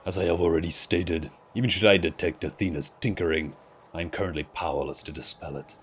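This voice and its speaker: Low, grave immortal lord, as a man reads him. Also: steady tone, a little hiss or hum, close to an everyday voice. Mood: grave, resolute. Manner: formal, solemn, measured declarations. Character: stern, commanding immortal ancient man, grave and absolute. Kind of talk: dramatic reading of fantasy game dialogue. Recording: music under the voice.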